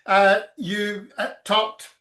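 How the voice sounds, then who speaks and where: A male host puts his questions slowly and hesitantly, filling pauses with "uh" as he goes. His voice sits at a mid pitch. The room around him echoes noticeably. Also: monotone, pushed and bright. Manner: hesitant, many filler sounds. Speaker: interviewer, host. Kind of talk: interview questions.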